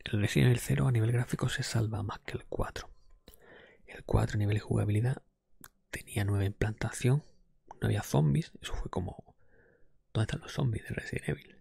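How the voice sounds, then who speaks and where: Male, ASMR host, soft breathy whispering, creaky fry, very dry close-mic sound, conversational, unhurried. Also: on the low side, no echo. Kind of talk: ASMR, improvised ranking of video games.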